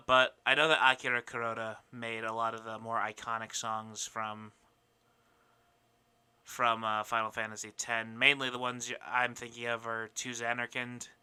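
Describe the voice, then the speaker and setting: A man chatting casually on a podcast panel, animated and bantering. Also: mid-range voice, clear, resonant tone. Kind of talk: casual chat, gaming debate.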